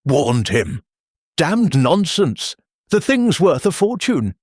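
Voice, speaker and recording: A man doing a husky, blustering colonel. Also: on the high side, very animated, slow, a little mellow, dry recording, clean studio sound, pitched above the performer's own voice, heavily acted. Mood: indignant. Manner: barking, blunt, indignant. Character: blustering, gruff male military colonel.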